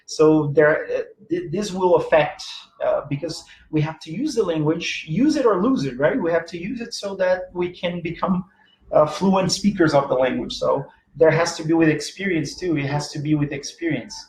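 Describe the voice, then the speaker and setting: A man lecturing in English, his second language after Portuguese, with a fairly high voice. He talks to a room of teachers in an animated way, searching for words as he goes, restarting and filling pauses. The room is echoey. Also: slight background noise. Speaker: teacher giving a talk.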